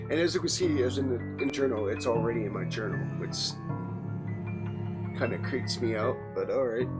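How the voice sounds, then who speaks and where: A man hosting a let's play, reading story narration aloud with some dramatic emphasis and casual commentary. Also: fairly low pitch. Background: loud game music.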